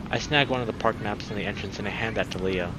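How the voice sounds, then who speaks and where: A man's voice: lowish voice, fast. A young adult man doing a casual let's play, chatting to viewers and reading a visual novel aloud. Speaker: game streamer. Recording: noisy background behind the voice.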